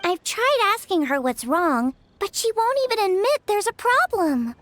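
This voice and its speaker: An actress voicing a cheerful, warm-hearted young woman chef in a video game, friendly and a little teasing. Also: extremely high pitch, very animated, normal speed, slight background noise, exaggerated voice. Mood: earnest.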